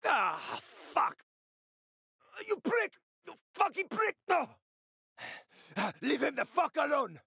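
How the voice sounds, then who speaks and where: A man's voice: very high voice, sing-song, slow, creaky, long pauses, sharp tone, no echo, clean studio sound, heavily acted. An actor playing a world-weary, streetwise male survivor in a video game, with a gritty, sardonic, foul-mouthed manner and dramatic delivery. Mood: agitated, angry.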